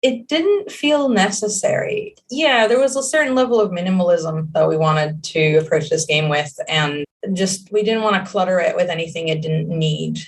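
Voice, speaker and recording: A young woman with a gravelly, creaky voice, a native Hebrew speaker talking through her answers in a loose, rambling way. She restarts often and fills gaps with "like" and "uh". The mic sits a little far from her. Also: low-pitched, slight background noise. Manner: rambling, many fillers and restarts. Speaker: game creative director. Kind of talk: casual interview answers.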